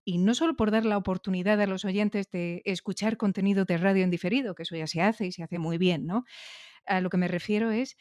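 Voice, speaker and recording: Female podcast interviewer, Castilian Spanish accent, medium pitch, thoughtful and wordy, very dry recording. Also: steady tone, brisk, darkish tone, dry recording. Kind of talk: conversational interview.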